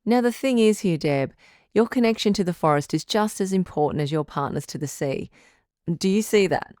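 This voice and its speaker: A woman playing a calm, firm relationship advice doctor hosting a radio call-in drama, measured and probing. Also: medium pitch, no room sound, studio quality, close to an everyday voice. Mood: firm, supportive.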